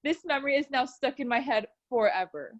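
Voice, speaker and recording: A woman narrates a scripted story out loud. Her voice sits fairly high, and she leans into the drama with an earnest delivery. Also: fairly quick, dull audio. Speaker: storyteller reading a bedtime story aloud. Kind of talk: scripted narration read aloud.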